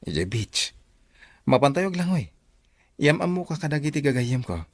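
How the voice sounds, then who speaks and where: Husky young soldier boyfriend. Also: male voice, on the high side, very animated, normal speed, dry recording. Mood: casual, inviting. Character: young soldier boyfriend, casually inviting his girlfriend out. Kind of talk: radio drama acting.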